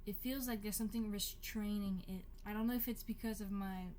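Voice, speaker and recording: A woman's voice: mid-range voice, fairly even intonation, darkish tone, slight background noise. Young woman mumbling through a ramble. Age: 20s. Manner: halting, mumbles and chews her words. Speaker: vlogger. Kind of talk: unscripted casual monologue to camera.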